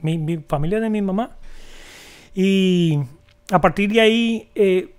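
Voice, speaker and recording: A middle-aged Dominican man with a strong Dominican accent and a high-pitched voice. He tells his stories as a guest with lively, animated energy, stumbling through restarts and fillers. His tone is smooth and resonant throughout. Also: a little slow. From Dominican Republic. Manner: animated, many fillers and restarts, says "brother". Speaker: podcast guest, video editor. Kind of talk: casual storytelling interview.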